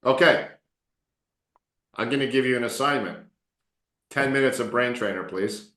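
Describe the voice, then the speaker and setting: A man coaching English students in a casual online class, relaxed and encouraging, often joking with them. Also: mid-range voice, normal speed, roomy sound. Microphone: video call.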